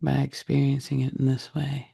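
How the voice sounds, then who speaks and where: A man leading a guided meditation in a soft, breathy, husky voice, speaking deliberately in short phrases with long pauses. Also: medium pitch, completely flat delivery. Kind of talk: guided meditation, slow soothing narration.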